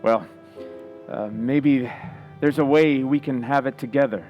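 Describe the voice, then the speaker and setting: A man's voice: mid-range voice, measured pace, dull audio, soft background music, lightly acted. A man playing a moody, weak and violent kept husband of a movie star, terse and intense, in an old-time radio suspense play. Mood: low, yearning. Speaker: actor in a community playhouse radio play.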